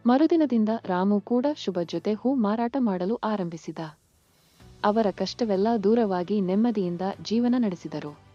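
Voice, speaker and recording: A woman narrates a children's story from a script. Her pitch is medium, and the recording is a little dull with soft music underneath. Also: a little slow. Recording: muffled lo-fi sound. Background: faint music.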